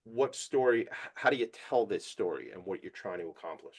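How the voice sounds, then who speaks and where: A man hosting a podcast interview, playful and excitable, breaking in with bursts of enthusiasm. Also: on the low side, fairly quick. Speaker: podcast host. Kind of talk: casual interview chat.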